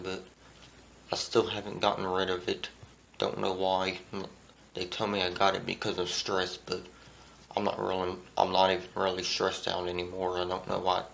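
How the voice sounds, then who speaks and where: A man with a low, stuffy, congested voice from a winter cold gives an earnest, rambling album review. His delivery barely rises or falls and keeps circling back to the same points. A steady noise hum sits under the recording. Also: vocal fry, poor audio. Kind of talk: casual music review vlog.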